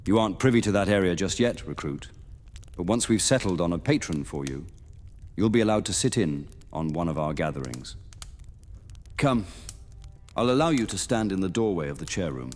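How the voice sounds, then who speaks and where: A man's voice: low voice, lively intonation, normal speed, a little mellow, a little hiss or hum. A man playing a stern veteran monster hunter knight in a fantasy audio roleplay, speaking formally and solemnly. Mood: grave, instructive. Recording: long hall reverb under music.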